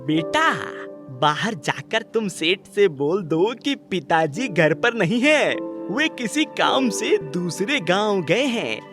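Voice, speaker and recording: A man's voice: high voice, sing-song, slow pace, voice pushed up, exaggerated voice. A man voicing a dishonest, short-tempered farmer father in a children's story, with an emphatic, boastful manner. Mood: furtive, urging. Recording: music behind the voice.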